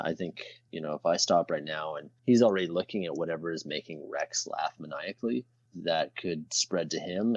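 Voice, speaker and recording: A man playing a tabletop game, talking casually and thinking aloud in a deliberate way. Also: very deep voice, dry recording, dull audio.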